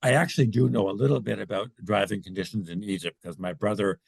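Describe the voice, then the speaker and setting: A man's voice: lowish voice, fairly even intonation, quick pace, vocal fry, breathy edge, no room sound. A man moderating an online meeting on a video call, hesitant, with stammered restarts.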